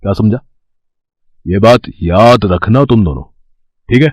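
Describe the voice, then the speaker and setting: Man acting a slow, menacing moneylender villain. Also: fairly low pitch, darkish tone, dry recording, clean studio sound. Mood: stern warning. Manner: slow, deliberate, threatening. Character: menacing male moneylender, cold, threatening, domineering.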